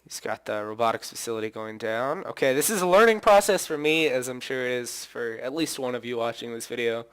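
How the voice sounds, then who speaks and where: A man giving casual, rambling commentary over his own game, thinking aloud and correcting himself, his voice slightly hoarse from singing. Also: medium pitch, expressive, fairly quick, hardly any pauses. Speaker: gameplay commentator.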